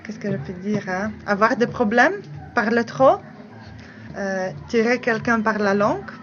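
A woman's voice: fairly high pitch, unhurried, a bit bright, highs cut off, a little hiss or hum. A woman guessing the meaning of an expression, musing and unsure of herself.

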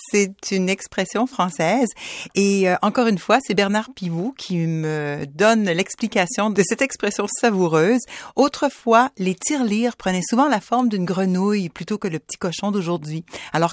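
A woman hosting a radio show, presenting in an expressive, outgoing manner. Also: medium pitch, no room sound, clean studio sound. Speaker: radio host.

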